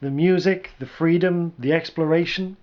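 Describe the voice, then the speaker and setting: A man's voice: on the high side, fairly even intonation, moderate pace, muffled recording, lightly acted. A man voicing a brash, determined rock roadie turned battlefield general, speaking emphatically in a storybook reading. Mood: resigned.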